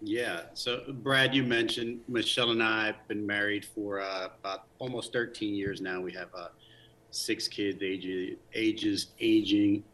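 Low voiced guy in his 40s, bright edgy tone, telling his army story, lots of um and so so. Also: fairly even intonation, unhurried, rough recording. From Dominican Republic. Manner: many restarts and fillers, earnest. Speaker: guest, Army command sergeant major. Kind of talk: casual interview storytelling.

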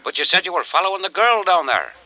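A man's voice: sits high, sing-song, fast, no room sound. An actor playing a concerned insurance company man questioning urgently through a telephone filter in a 1950s radio drama. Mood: urgent, anxious. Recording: tinny telephone-like old radio sound.

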